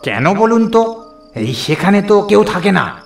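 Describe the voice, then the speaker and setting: A curious, doubting village station master for a horror cartoon. The voice is high for a man, in an echoey sound with faint music. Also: sing-song, a little slow, creaky, full character voice. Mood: inquisitive, wary. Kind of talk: Bengali horror cartoon voice acting.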